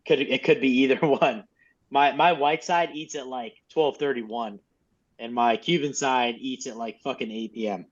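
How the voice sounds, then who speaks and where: A man's voice: mid-range voice, fairly even intonation, moderate pace. A man coaching a group in casual, informal, mildly profane instructional talk over a lo-fi video call. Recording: dull, lo-fi video call audio.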